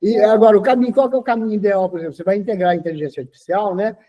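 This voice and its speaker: A man's voice: high-pitched, racing, warm tone, muffled recording. A man of sixty, an interview guest, talking in a lecturing way and tagging his sentences with a questioning "okay?". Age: 60s. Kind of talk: casual interview talk.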